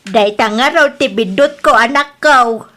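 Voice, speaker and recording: Apologetic widowed mother for audio drama, played by a woman: plaintive, pleading, high-pitched and piercing. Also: unhurried, pushed and bright. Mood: ashamed, sorrowful. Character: lovelorn, apologetic widowed mother of grown children.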